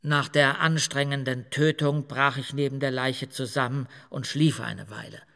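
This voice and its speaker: A man's voice: medium pitch, fairly even intonation, normal speed, gravelly, sharp tone, in a large hall. An actor playing a boastful, unrepentant male serial killer in a radio play, matter-of-fact and self-important. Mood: cold, confessional.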